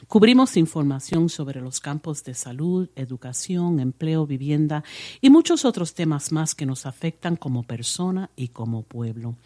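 A woman hosting a community radio interview in a studio, friendly and chatty, quick to laugh with her guests. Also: low voice, warm tone, no room sound. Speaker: radio show host.

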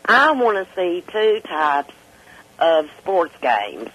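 Older female radio caller, hoarse but bright, unhurried, swinging widely in pitch, chatty and excitable. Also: low-quality recording. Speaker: regular caller to a radio talk show. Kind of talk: casual, jokey chat.

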